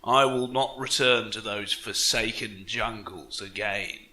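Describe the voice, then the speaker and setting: A man's voice: lowish voice, measured pace, sharp tone, a little hiss or hum, low-quality recording. A man voicing an embittered, shaken adventurer in a fantasy tale, speaking with grim, emphatic intensity. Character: shaken, embittered adventurer back from deadly jungles. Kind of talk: fantasy audiobook character acting.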